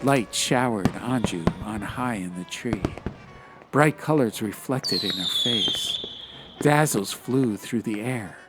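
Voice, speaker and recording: A man's voice: lowish voice, takes it slowly. A man narrating a fantasy audiobook in a dramatic, literary style. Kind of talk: scripted fantasy story narration. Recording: music behind the voice.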